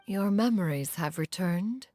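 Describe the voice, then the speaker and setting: A woman's voice: lowish voice, lively intonation, a little slow, warm tone, no echo, faint music behind. A woman voicing a haughty, dignified ancient immortal in a video game, speaking in a formal, lofty manner. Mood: composed. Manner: formal, lofty, refers to self as "one". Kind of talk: video game character acting.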